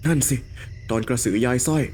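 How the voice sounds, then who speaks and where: A man voicing a calm, compassionate, philosophical character in an animated ghost story, speaking in a measured, reflective way. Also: mid-range voice, a little hiss or hum, natural voice. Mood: recalling.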